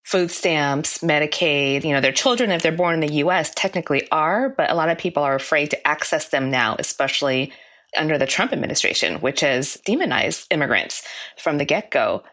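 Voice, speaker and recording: A woman reporter with a strikingly low voice walks through her findings in a measured, explanatory way. Her delivery is steady and informative, an interview answer.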